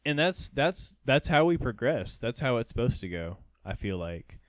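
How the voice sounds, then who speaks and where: A man's voice: mid-range voice, sing-song, moderate pace, no room sound. A young man from North Georgia, co-hosting a podcast in a rambling, casual way with many restarts. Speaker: podcast co-host. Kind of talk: casual chat.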